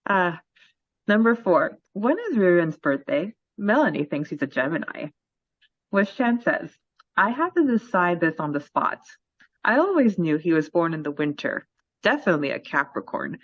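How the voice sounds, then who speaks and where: An upbeat, giggly woman co-hosts a casual fan podcast and reads out listener questions in a slightly low voice. Her mic sounds a little dull and lo-fi. The room is dry and close. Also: sing-song, moderate pace, no room sound. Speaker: podcast co-host.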